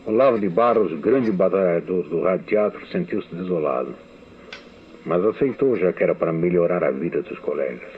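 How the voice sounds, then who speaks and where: A man from Brazil recalls his years writing radio serials, unfolding the story in a measured way with dry asides. His voice sits very low. It comes through a thin, phone-like archival radio recording. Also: expressive, slight background noise. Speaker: radio novelist giving a testimony. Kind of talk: archival spoken memoir.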